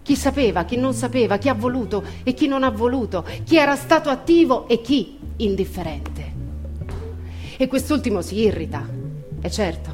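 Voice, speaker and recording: Higher-voiced woman in a theatrical monologue over music. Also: expressive. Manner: emphatic, deliberate pauses, conversational asides. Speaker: actor reading a political text. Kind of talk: theatrical dramatic monologue reading. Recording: music under the voice.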